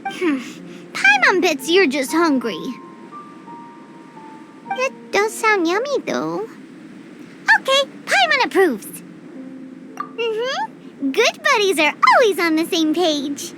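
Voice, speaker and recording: A tiny fairy sidekick from an anime game with an extremely high, squeaky, childlike voice. The delivery swoops wildly, cheeky and full of exclamation, over prominent game music. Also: female voice, sing-song, slow pace, pauses often, exaggerated voice. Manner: cheeky, exclaiming, refers to self in third person. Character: tiny chirpy childlike fairy companion, cheeky and food-loving. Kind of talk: anime-style video game voice acting.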